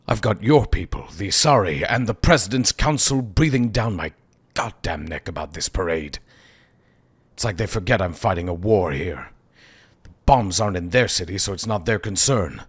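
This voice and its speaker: An actor playing a corrupt, contemptuous city administrator who berates and swears. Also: male voice, sits low, animated, moderate pace, slightly rough. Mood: weary, scornful. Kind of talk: audio drama acting. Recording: music playing underneath.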